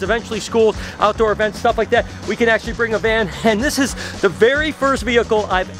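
A man in his fifties who runs a reptile zoo, vlogging in an excitable, gushing way from inside an indoor reptile facility, filmed on a close handheld camera. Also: high-pitched. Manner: excitable, gushing, exclaims often. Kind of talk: enthusiastic vlog narration. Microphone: handheld camera close to face. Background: music bed.

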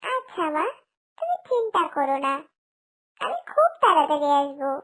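A woman's voice: very animated, normal speed, fairly bright tone, heavily acted. A woman voicing an eager, cheerful little girl in an animal cartoon, with a childlike, sing-song delivery. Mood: eager pleading. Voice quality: childlike, high-pitched. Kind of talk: cartoon character acting.

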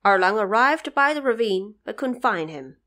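A woman narrator telling a scripted story for an audio drama in an expressive, measured way. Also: medium pitch.

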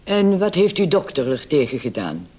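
A man playing a brisk, condescending emergency doctor on night duty in a vintage radio play. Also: a little high, very animated, a little mellow. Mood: brisk, questioning. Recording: old radio recording with clear hiss.

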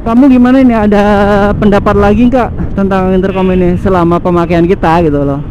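A man's voice: high-pitched, fairly even intonation, a little fast. A man, chatting casually and repeating himself for emphasis as he vlogs from a motorbike. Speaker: motovlogger host. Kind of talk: casual chat while riding. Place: outdoors, riding a motorbike on city roads. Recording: muffled helmet mic, engine and traffic noise.

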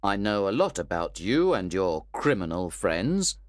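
A man voicing a stern, measured old gentleman. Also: deep voice, sing-song, no echo, studio quality. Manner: firm, measured. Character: stern, calm older gentleman accuser.

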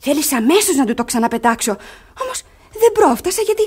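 An actress playing a cunning young ward feigning virtuous innocence, dramatic in a theatrical radio comedy. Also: female voice, high voice, very animated, darkish tone. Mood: breathless storytelling. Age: young adult.

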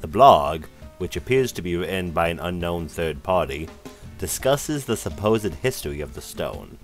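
Low-voiced skeptical male narrator. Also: expressive, normal speed, faint music behind. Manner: dry, skeptical. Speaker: debunking narrator. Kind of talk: scripted narration.

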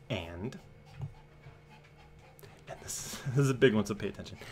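A young man giving an over-the-top dramatic reading as a smug, defensive forum poster, theatrical and swinging between breathy whispers and shouting. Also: mid-range voice, pitched below the performer's own voice, lightly acted. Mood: condescending, exasperated. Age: young adult. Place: small room at home.

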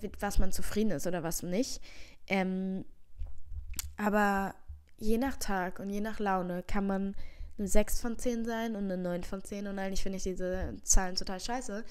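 A woman's voice: medium pitch, darkish tone, smooth. Young woman with a cold, earnest. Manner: earnest, rambling, reassuring, many fillers. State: has a cold. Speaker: vlogger. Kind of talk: casual heartfelt advice monologue. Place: living room at home, seated in armchair. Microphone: handheld microphone.

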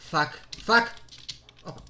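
A man with a Greek accent giving casual, exasperated gameplay commentary, with some swearing, into a desktop microphone. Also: sharp tone. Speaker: gaming video host. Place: indoors at a computer.

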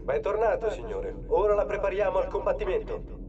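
A man's voice: high-pitched, big pitch swings, brisk, music in the background. A male actor playing an attentive, methodical military systems technician in a video game, speaking with respectful procedural formality. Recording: dull band-limited sound, clearly noisy background.

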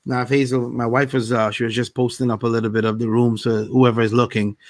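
A man's voice: mid-range voice, little pitch movement, fast, no room sound. A man from Queens, a guest on a live video chat, talking emphatically with many "like"s. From Queens, New York. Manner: emphatic, lots of "like", drawn out.

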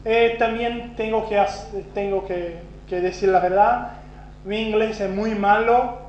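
A Brazilian man whose first language is Portuguese, giving a conference talk with a Brazilian accent, self-deprecating and halting, with many restarts. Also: sits high, fairly even intonation, darkish tone. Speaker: conference speaker. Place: conference hall. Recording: roomy, dull lo-fi sound with faint hum.

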